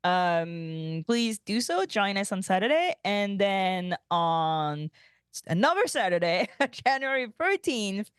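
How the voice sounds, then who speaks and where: Slow, halting woman with a clear voice. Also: mid-range voice, very animated, no echo, studio quality. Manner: halting, searching for words. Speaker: podcast co-host. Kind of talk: casual chat, giving announcements.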